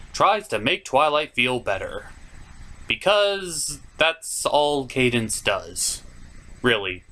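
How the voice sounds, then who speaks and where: Male review host, very lively swooping intonation, theatrical and sarcastic, scripted comedic narration. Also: medium pitch. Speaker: cartoon reviewer, show host.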